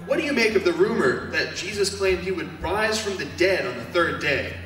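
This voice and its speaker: A very echoey hall surrounds a man playing an insistent field reporter. His voice is high-set, lively and forceful as he presses for answers in a stage drama. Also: moderate pace, soft background music. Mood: urgent, probing. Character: urgent, probing TV news reporter on the scene. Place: church hall stage performance. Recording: very echoey hall, distant mic.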